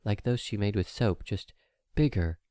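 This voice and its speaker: Low-voiced man narrating, dry recording. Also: moderate pace, dark tone, dry recording. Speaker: audiobook narrator. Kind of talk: scripted fan-fiction story narration.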